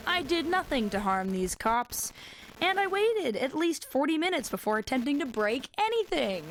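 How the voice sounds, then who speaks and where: A stranded, wisecracking survivor talks into a radio in a post-apocalyptic audio drama. Her voice is fairly high and her delivery very unhurried, rambling and conversational. Faint noise sits behind her. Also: poor audio. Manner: wry, joking, rambling, conversational. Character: stranded, handcuffed young woman survivor, wry and rambling. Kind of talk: audio drama monologue sent as a radio broadcast.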